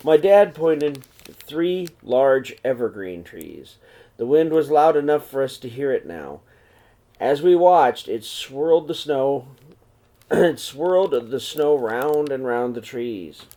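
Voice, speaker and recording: A man reading a picture book aloud to young children in an expressive storytelling style. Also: medium pitch, takes it slowly.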